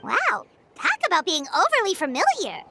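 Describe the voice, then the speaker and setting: A woman voicing a tiny, childlike floating companion in a video game, with a squeaky, cheeky, blunt delivery. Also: huge pitch swings, normal speed, pushed and bright, faint music behind, full character voice. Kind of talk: cartoonish video game voice acting.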